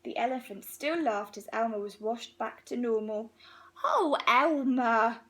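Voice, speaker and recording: A woman reading a picture book aloud to young children in an expressive, unhurried way, pausing between phrases. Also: on the high side.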